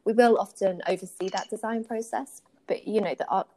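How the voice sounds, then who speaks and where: A woman's voice: mid-range voice, fairly even intonation, brisk, dark tone. A woman with a British accent explaining her professional work in an articulate, conversational way as an interview guest. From United Kingdom. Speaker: podcast guest, workplace strategy consultant.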